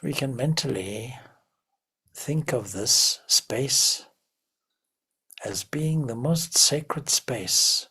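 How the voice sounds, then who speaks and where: A man leading a guided meditation as a teacher, giving gentle, measured instructions with long pauses between phrases. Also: medium pitch, animated, breathy edge.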